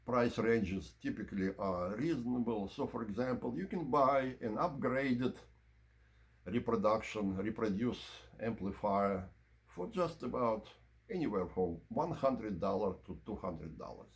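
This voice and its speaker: A man with a fairly low voice gives an informal equipment review. His intonation swings widely as he explains things in measured, broken-up phrases, like someone demonstrating a product to viewers. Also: lively intonation. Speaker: presenter of audio equipment review.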